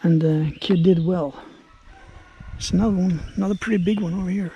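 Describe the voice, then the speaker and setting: A man from Norway speaking English, giving relaxed, chatty travel commentary to camera outdoors at a jungle camp. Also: high voice, fairly quick, dull audio, background noise. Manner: relaxed, chatty, thinking aloud. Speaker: travel vlogger.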